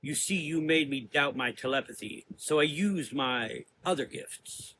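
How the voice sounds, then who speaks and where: A man playing a menacing, cerebral gothic sorcerer in an audio drama, lecturing deliberately in drawn-out, taunting phrases. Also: fairly high pitch, sharp tone, slight background noise. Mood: accusing.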